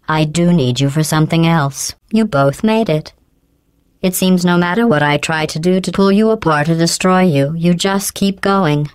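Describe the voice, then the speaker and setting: Cold sarcastic female game AI, low and smooth, robot filtered, mock-polite. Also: no room sound. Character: cold, passive-aggressive female AI supervisor. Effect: robotic voice processing. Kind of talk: video game voice acting.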